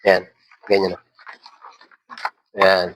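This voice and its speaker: A man's voice: low voice, completely flat delivery, extremely slow, a bit bright, rough recording. A man with a Filipino accent hosts an informal tutorial, talking casually and instructing step by step as he handles parts. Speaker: tutorial host. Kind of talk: casual hands-on tutorial talk.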